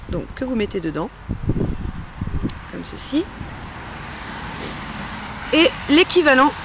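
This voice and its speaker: A woman's voice: sits high, background noise. A woman hosting a casual step-by-step tutorial in a chatty, playful and informal way. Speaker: host of a homemade beauty-care tutorial. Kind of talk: casual spoken step-by-step demonstration.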